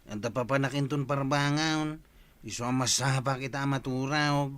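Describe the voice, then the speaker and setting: A man's voice: mid-range voice, steady tone, moderate pace, a bit bright, dry recording, natural voice. An actor playing a sly, lovesick village man with a Filipino Ilocano accent, pushy and pleading, drawling and hesitating in an audio drama.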